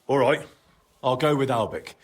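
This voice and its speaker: A man's voice: mid-range voice, lively intonation, normal speed, lightly acted. An actor playing a dutiful, dry young medieval man-at-arms in a video game, direct and courteous. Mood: puzzled, dry. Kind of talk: video game cutscene acting.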